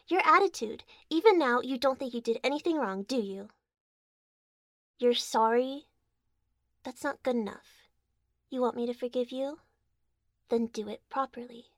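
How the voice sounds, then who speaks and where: A woman's voice: on the high side, no echo, studio quality. A woman playing a bossy, domineering girlfriend. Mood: angry. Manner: commanding, scolding, teasing. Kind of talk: audio roleplay.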